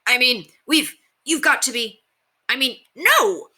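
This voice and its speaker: A woman's voice: sits high, animated, takes it slowly, sharp tone, voice pushed up, full character voice. A woman voicing a flustered, scoffing male gate guard in a storybook, incredulous and sputtering. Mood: flabbergasted.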